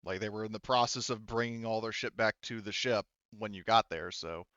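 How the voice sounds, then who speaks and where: A man's voice: lowish voice, fairly quick, a bit bright, clear, resonant tone, no room sound, dull audio. A man acting as game master, narrating and refereeing a tabletop roleplaying game in an informal, hesitant, think-aloud way.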